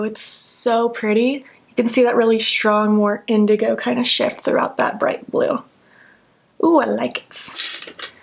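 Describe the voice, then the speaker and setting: A young woman in a casual makeup tutorial, talking softly with a sleepy early-morning voice and narrating each step as she works. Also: on the high side. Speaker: beauty vlogger. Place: at home.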